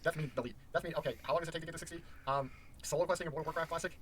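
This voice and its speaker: A man's voice: on the high side, steady tone, very fast, fairly bright tone, a little hiss or hum. A man giving gaming commentary, explaining in a profane, matter-of-fact way. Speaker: commentator in the old video.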